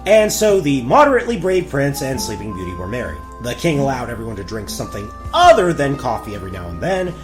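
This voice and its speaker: Animated man narrating a comic fairy tale. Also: mid-range voice, fast talker, hardly any pauses, music in the background. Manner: wry, self-interrupting asides.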